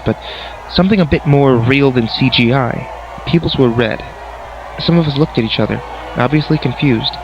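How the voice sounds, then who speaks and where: A man's voice: a little high, noisy background. A man reading a scripted horror story as its narrator. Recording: dull, lo-fi voice recording. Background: music.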